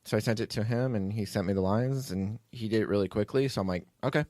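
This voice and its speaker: A man's voice: lowish voice, fast, a little mellow, dry recording, clean studio sound. A man hosting a podcast as its writer and producer, telling behind-the-scenes stories in a casual, rambling way.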